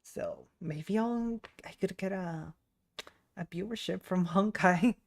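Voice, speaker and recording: A woman's voice: mid-range voice, slow pace, gravelly. A young woman chatting casually and loosely as she streams a video game. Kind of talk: casual livestream chat while playing.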